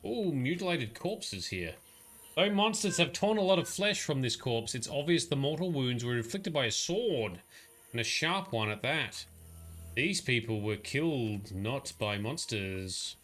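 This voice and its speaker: A man's voice: mid-range voice, animated, measured pace, fairly bright tone. A man giving casual let's play commentary, chatty, swearing and laughing at the game. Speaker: streamer. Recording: game music behind voice. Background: game music.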